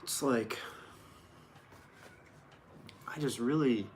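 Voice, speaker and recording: A man's voice: medium pitch, moderate pace. Sarcastic man commenting over background noise. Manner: sarcastic, feigned astonishment. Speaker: host of a newspaper-reading show. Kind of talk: casual rambling commentary, reading news aloud.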